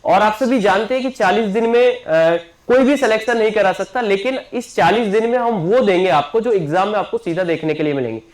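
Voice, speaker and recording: A man, a coaching teacher announcing an exam batch to students, repeating himself and often checking in with them. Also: sits high. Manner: repetitive, keeps checking in with listeners. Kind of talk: promotional class announcement.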